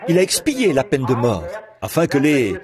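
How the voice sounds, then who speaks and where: A man delivering a translated sermon in a lively preaching cadence, repeating words as he goes. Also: medium pitch, normal speed, crowd noise. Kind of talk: French reading of a translated sermon.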